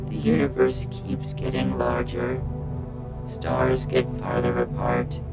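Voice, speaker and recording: A man's voice: mid-range voice, gravelly, voice pushed up, poor audio. A man voicing a petulant, moralizing alien star probe in a science fiction story, with a weary, admonishing manner. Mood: morose. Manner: slow, earnest, admonishing delivery. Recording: prominent music over the voice. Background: loud music.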